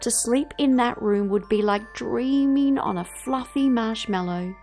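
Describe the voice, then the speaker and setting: A woman reading a children's story aloud with theatrical, emphatic, drawn-out phrasing. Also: on the high side, darkish tone, soft background music.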